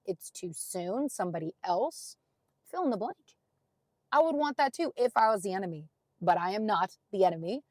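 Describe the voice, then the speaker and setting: A young adult woman with a deep raspy voice hosts her own podcast, talking earnestly and emphatically to encourage listeners, with casual fillers like "like" and "gosh". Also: no echo. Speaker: podcast host. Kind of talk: motivational solo podcast talk.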